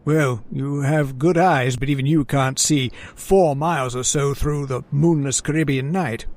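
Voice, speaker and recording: A man's voice: medium pitch, fairly even intonation, unhurried, darkish tone, lightly acted. A man playing a genial, philosophical hunting companion with a superstitious unease, in old-time radio drama style. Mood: intrigued.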